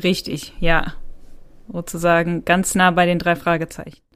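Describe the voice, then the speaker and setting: A woman's voice: on the low side, little pitch movement, moderate pace, fairly bright tone, clear, resonant tone, dry recording. A woman co-hosting a podcast in casual, conversational chat. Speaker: podcast co-host.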